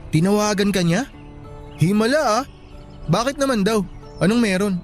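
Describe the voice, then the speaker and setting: A man's voice: high voice, expressive, normal speed, soft background music. Actor as an easygoing young college man. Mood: concerned. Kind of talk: radio drama acting.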